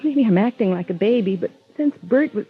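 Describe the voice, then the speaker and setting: An actress playing an anxious, pleading, lonely young woman in love, in vintage radio melodrama style, with a soft, breathy voice. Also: medium pitch, expressive, a little fast, natural voice. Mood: tearful longing. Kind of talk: 1940s-50s radio melodrama acting. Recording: thin, phone-like vintage radio recording.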